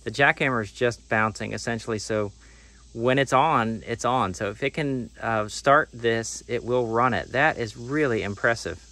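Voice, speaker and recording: A man's voice: medium pitch, moderate pace, sharp tone. A man in his forties hosting a do-it-yourself channel, casually talking through a hands-on tool test, thinking aloud with restarts, recorded close on a phone camera. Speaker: DIY channel host. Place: outdoors on gravel and in a workshop.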